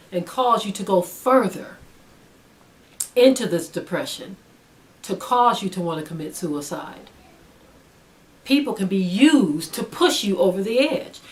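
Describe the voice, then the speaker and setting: Emphatic woman preacher, slightly low voice. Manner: earnest, emphatic, repeats phrases for stress. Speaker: religious teacher. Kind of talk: spiritual teaching, sermon-like monologue.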